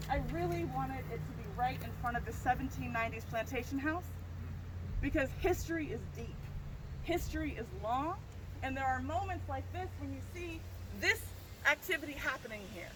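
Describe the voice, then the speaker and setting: A high, bright-voiced woman professor addresses a gathered crowd outdoors with eager, talkative energy, often asking "right?". Steady noise and passing traffic sit under her voice in this open-air recording. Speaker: professor and nonprofit founder. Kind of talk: outdoor public speech. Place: outdoors at a historic site.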